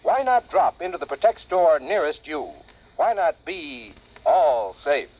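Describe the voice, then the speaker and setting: Chummy high-ish voiced radio pitchman. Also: sing-song, unhurried. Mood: chummy, persuasive. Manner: polished, persuasive. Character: chummy, smooth-selling pitchman in a radio commercial. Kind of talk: 1950s radio commercial read. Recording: dull, faintly noisy vintage radio recording.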